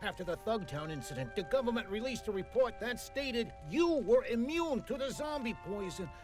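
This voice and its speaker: An actor playing a two-faced pilot turned traitor, casual and streetwise, in a video game cutscene. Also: male voice, very high-pitched, big pitch swings, normal speed. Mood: warning, explaining. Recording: music behind the voice. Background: music.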